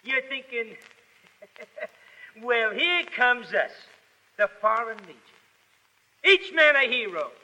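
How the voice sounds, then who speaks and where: A man's voice: very high-pitched, animated, slow, pauses often, heavily acted. A man playing a tough, sarcastic Foreign Legion sergeant barking orders in a 1930s radio drama. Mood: stern, sarcastic. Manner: gruff, shouted military commands. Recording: dull vintage 1930s radio recording.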